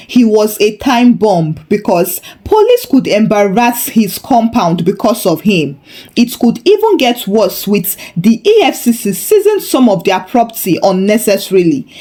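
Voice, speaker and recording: Animated woman storyteller. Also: mid-range voice. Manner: theatrical, humorous exaggeration. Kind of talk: dramatic scripted folktale narration.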